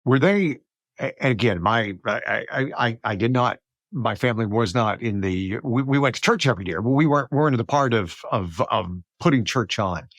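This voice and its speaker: A man's voice: medium pitch, lively intonation, normal speed, a bit bright, no echo. A man from Alabama hosting a podcast interview, chatty and eager, often stumbling and restarting his questions. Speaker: podcast host.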